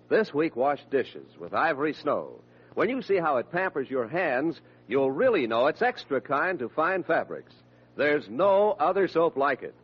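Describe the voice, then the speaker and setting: A man's voice: fairly high pitch, breathy edge. A man reading a scripted 1940s radio advertisement as an announcer. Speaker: commercial announcer. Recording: muffled old radio recording.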